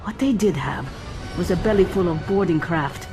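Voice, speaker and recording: A woman's voice: lowish voice, moderate pace, over music, lightly acted. Wry, composed female ship's AI voiced by a woman. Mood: urgent. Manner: dry, precise, clinical. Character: wry, composed female shipboard artificial intelligence. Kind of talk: science fiction audio drama acting.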